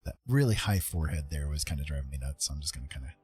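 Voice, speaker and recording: A man giving a casual live-stream painting tutorial in an informal, self-deprecating way, with a husky voice. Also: rumbling low, lively intonation, rapid-fire. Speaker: art streamer and author. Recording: soft guitar music behind voice. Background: guitar music.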